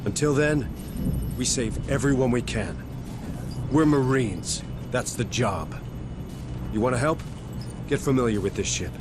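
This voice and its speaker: A man playing a weary, blunt marine squad leader in a video game, talking in a gruff, sardonic, curt way. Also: mid-range voice, animated, measured pace, long pauses, noisy background, natural voice. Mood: resolute. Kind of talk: video game dramatic acting.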